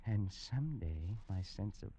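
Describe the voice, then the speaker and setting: A haunted, frantic invalid. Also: male voice, low voice, animated, unhurried. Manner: anxious, swinging into frantic shouting. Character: feverish haunted man tormented by a vision. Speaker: lead character. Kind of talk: 1940s radio drama acting.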